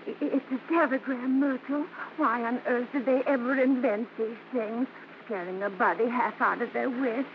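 Woman acting a bossy old grandma in a radio play, folksy and outspoken, kinda high voice, muffled hissy old recording. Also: steady tone, moderate pace, hardly any pauses, close to an everyday voice. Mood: exasperated, bossy. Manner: scolding, exclaiming, folksy turns of phrase. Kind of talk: 1940s-50s radio acting.

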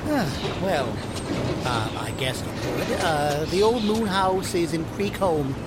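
An actor playing a kindly, hesitant small-town local in an audio drama, speaking gently and folksily. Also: male voice, fairly high pitch, expressive, slow pace, heavy background noise. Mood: gentle, hesitant. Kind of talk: naturalistic audio drama dialogue.

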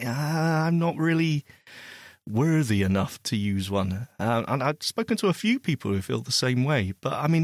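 A man's voice: medium pitch, no echo, clean studio sound. A man co-hosting a podcast in casual, playful chat. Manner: playful, laughing easily. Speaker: podcast co-host.

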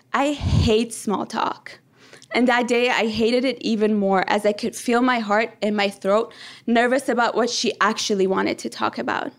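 A young woman from Iran, a PhD candidate, telling a personal story on stage in an earnest, measured and reflective way. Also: a little high.

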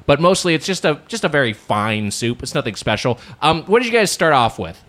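A man's voice: a little high, big pitch swings, a little fast, a bit bright. A man in his late thirties with an American accent, co-hosting a casual comedic food chat in a wordy, detailed, descriptive way. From Long Beach, California, United States. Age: late 30s. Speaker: podcast co-host.

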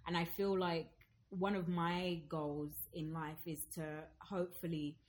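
A woman in her thirties from Zambia with an English accent, a guest telling her story in a lively way and acting out what people said. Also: fairly low pitch, unhurried. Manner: lively storytelling, acts out quoted speech. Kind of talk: casual conversation.

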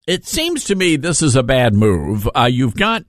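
Man, talk radio host, animated intonation, dry close sound, sardonic, joking, emphatic, conversational commentary. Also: medium pitch, measured pace, dry recording.

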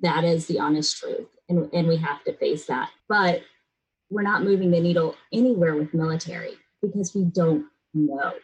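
A woman's voice: low voice, normal speed, husky, low-quality recording. A middle-aged American woman speaking earnestly and bluntly in a serious conversational interview, with emphatic delivery. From United States, raised in a military family abroad and in Florida.

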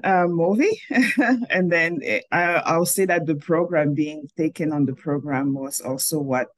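A woman's voice: sits low, measured pace, no room sound. A woman in her forties from Cameroon, a filmmaker answering interview questions over a video call, thoughtful and often rephrasing mid-sentence. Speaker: filmmaker guest.

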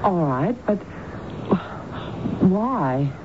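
A woman's voice: lowish voice, big pitch swings, drawn-out words, exaggerated voice. An actress playing a troubled, weary married woman who is a psychiatric patient in a radio drama, with a hesitant, questioning delivery. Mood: tentative. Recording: dull, noisy old radio broadcast.

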